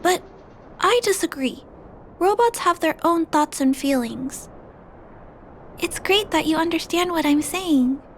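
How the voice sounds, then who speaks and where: A woman voicing a shy, kindhearted little girl in a video game, gentle, earnest and polite. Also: extremely high pitch, slight background noise. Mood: earnest, feeling.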